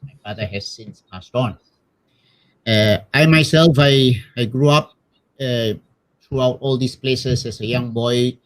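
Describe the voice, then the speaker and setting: A middle-aged man with a Malaysian accent speaks as an interviewed missionary priest. His voice has an average pitch. He tells his own story casually and hesitantly, repeating words and adding little conversational tags. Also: unhurried, poor audio.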